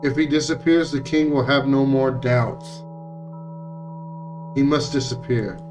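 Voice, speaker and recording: A man's voice: medium pitch, fairly even intonation, unhurried, music in the background, natural voice. A man voicing menacing hive-minded puppets in a visual novel, insistent and accusing, with chant-like repetition. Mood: cold, resolute.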